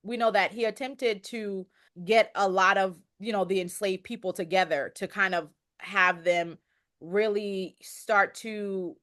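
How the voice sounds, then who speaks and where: A woman explaining history conversationally as a podcast guest, halting and circling back to rephrase her points. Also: medium pitch, fairly even intonation, fairly bright tone.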